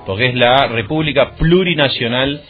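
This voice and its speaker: A man's voice: mid-range voice, moderate pace. A man with a Rioplatense Argentine accent hosting a radio interview and adding political commentary. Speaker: radio host. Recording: tinny phone-like sound over music.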